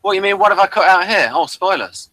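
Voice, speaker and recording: A man chatting casually as a podcast reviewer, in a hesitant, halting way. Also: high voice, expressive, bright, forceful tone, dry recording.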